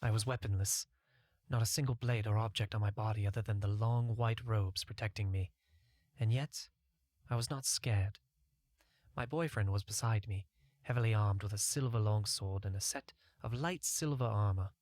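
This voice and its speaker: Male audiobook narrator, low voice, dry close sound, first-person story reading. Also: normal speed, darkish tone, dry recording.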